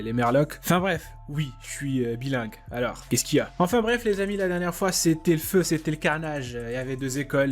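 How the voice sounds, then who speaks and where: A young man talks into his desk mic with a voice pitched a little above the usual, rambling through his opinions with plenty of filler words and the odd swear. He gets excited easily and breaks into exclamations. Faint music plays underneath. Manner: rambling, repeats filler phrases, swears casually; excitable, laughs and exclaims while watching. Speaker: anime reaction youtuber. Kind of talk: casual reaction chat. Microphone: desk microphone.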